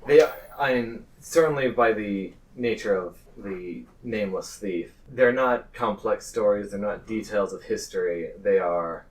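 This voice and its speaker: A man narrating a tabletop roleplaying game as game master, pausing between phrases. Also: lowish voice, distant mic, low-quality recording.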